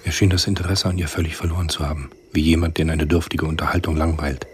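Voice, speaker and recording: An actor playing the grave narrator of a radio spy thriller, telling the story in a sober, measured way. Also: male voice, rumbling low, little pitch movement, a little hiss or hum. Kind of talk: scripted literary narration in a radio play.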